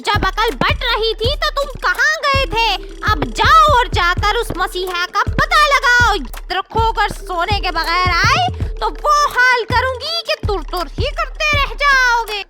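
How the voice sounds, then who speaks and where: An extremely high-pitched cartoon scold. She is bossy, sharp-tongued and full of mocking threats. Loud music plays behind her. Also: expressive, slow pace, hardly any pauses, full character voice. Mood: scornful scolding. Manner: mocking, scornful. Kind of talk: animated story voice acting.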